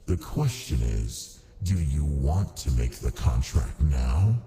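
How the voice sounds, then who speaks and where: A man playing a haughty, seductive ancient archdemon in an ASMR roleplay, with a gravelly, languid, condescending delivery. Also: extremely low pitch, expressive, slow, warm tone, slight background noise, heavily acted. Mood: taunting, persuasive.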